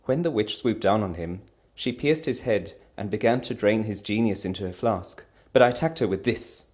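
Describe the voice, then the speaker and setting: A man's voice: fairly low pitch, normal speed, a little mellow, pitched above the performer's own voice, lightly acted. An impassioned storybook wife , acted out by a man, proud and defiant through her anguish. She speaks emphatically, her voice trembling with feeling as she stands up for the husband she loves. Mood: fierce. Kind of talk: dramatic storybook character reading.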